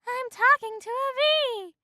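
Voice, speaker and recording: A woman voicing a startled, bewildered young cartoon woman in a halting, disbelieving way. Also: very high voice, wildly swooping pitch, bright, forceful tone, no echo, clean studio sound, pitched above the performer's own voice, heavily acted. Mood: astonished.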